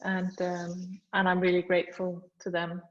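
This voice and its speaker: A woman's voice: on the low side, monotone, measured pace. A woman author with a Danish accent, reading from her book and then thanking people at an online launch, hesitant with many fillers. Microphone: video call. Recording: dull lo-fi video call audio.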